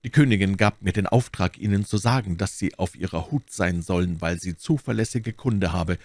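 A man's voice: low voice, normal speed, a little mellow, dry recording. Guy doing a faithful french servant, earnest n humble, audiobook drama, very dry sound. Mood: earnest, deferential. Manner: deferential, earnest. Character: loyal, devoted French manservant and royal messenger. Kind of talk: dramatic audiobook character reading.

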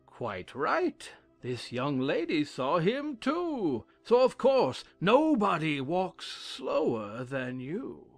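A man playing a pompous, fussy old king in a dramatized audiobook, with a theatrical, drawn-out delivery. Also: a little high, huge pitch swings, vocal fry, no room sound, faint music behind, heavily acted. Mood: self-satisfied.

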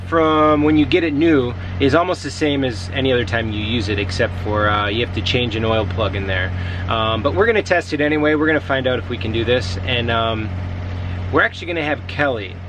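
A man's voice: mid-range voice, brisk. A man casually explaining and coaching as a vlog host, talking to a handheld camera. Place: outdoors on a sailboat deck. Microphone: handheld camera at arm's length. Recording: clear outdoor boat background noise.

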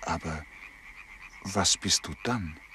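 A man's voice: low-pitched, slow pace, bright, forceful tone, slight background noise, heavily acted. An actor in a radio play, playing an earnest, devoted young man in love. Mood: tender, puzzled.

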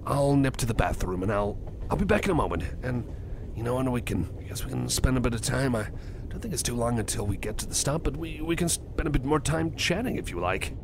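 A man's voice: animated, brisk. A man playing a shy, kind cyborg with a crush in an ASMR roleplay, stammering and repeating himself as he talks to the listener. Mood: happy, flustered. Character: bashful, kind male cyborg friend nervously confessing a crush. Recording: clear background vehicle rumble noise. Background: train ride ambience.

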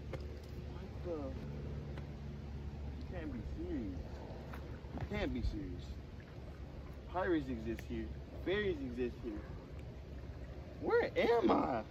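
A bewildered young orphan lost on a fantasy island, acted by a man. His voice is fairly high and very animated as he questions everything in casual, repetitive bursts. It is clearly noisy outdoor sound from a homemade short film. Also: muffled recording. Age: young adult. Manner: incredulous, questioning, repeats himself. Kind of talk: hobby fantasy short-film acting, colloquial. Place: outdoors by a lake and woods.

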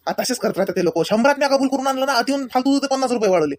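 A man's voice: very high-pitched, lively intonation, rapid-fire, no room sound. A man playing a stingy grown son in a village comedy cartoon, complaining and sarcastic, with a Varhadi Marathi accent. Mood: grumbling.

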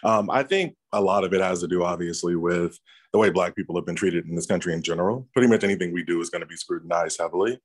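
A man's voice: low voice, quick pace. A man sharing his views in a casual video-call discussion, thoughtful and conversational, often checking in with "right?". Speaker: workshop participant. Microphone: laptop or phone on a video call.

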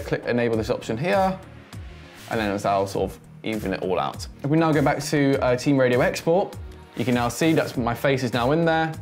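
A man in his twenties giving a casual, chatty software tutorial, thinking aloud as he demonstrates. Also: medium pitch. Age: 20s. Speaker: host, tutorial presenter.

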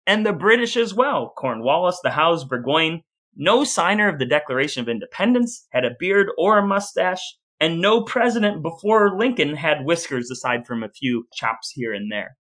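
A man's voice: fairly high pitch, very animated, moderate pace, fairly bright tone. A man co-hosting a podcast, telling history informally and reading out quoted accounts. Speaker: podcast co-host.

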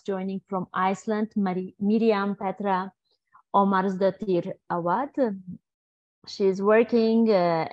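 A woman's voice: medium pitch, a little mellow, no room sound. A woman facilitating a webinar, giving a formal welcome and introduction in a welcoming way with frequent fillers. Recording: dry lo-fi video call audio.

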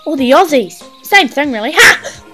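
Woman doing a super high squeaky excitable chatterbox girl, panicky, swoops all over, radio comedy. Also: moderate pace, faint music behind, full character voice. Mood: giddy rambling. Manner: gushing, melodramatic, rambling. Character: excitable, panicky, chattering young female adventurer. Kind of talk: amateur comedy radio drama acting.

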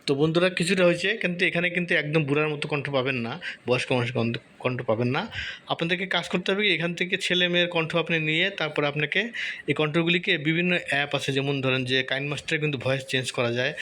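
A man's voice: on the high side, bright, forceful tone. Man explaining casually over noise. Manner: informal, explanatory, often murmuring to himself while typing. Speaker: tutorial host. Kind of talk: casual screen-recorded tutorial, thinking aloud. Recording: noticeable background noise.

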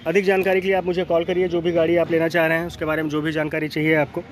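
A North Indian man with a slightly high voice gives a used car walkaround. He lists features in short, matter-of-fact phrases. He is recorded outdoors in a car lot, with faint street noise behind him. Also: completely flat delivery, very fast. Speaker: used car dealer presenting cars. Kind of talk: spoken walkaround sales presentation. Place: outdoor car lot, beside and inside cars. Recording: noisy outdoor street ambience.

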